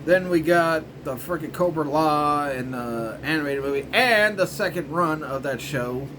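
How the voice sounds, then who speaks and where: A man's voice: fairly high pitch, background noise. A man casually rambling through a commentary as host of a review show, in informal, loosely strung phrases. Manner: informal, repetitive filler phrases like "you know" and "frickin'".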